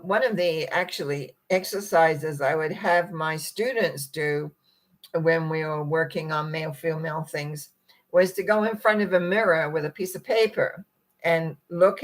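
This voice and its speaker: A middle-aged woman with a croaky, husky voice gives a gentle, meandering spiritual talk and often clears her throat. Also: low-pitched, poor audio. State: croaky, rough voice. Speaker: spiritual teacher. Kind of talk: reflective talk to viewers.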